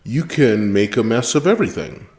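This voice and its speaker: A man's voice: lowish voice, animated, normal speed, darkish tone. A man hosting a podcast, asking questions in a curious, conversational way. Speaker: podcast host. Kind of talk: casual interview. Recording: echoey room.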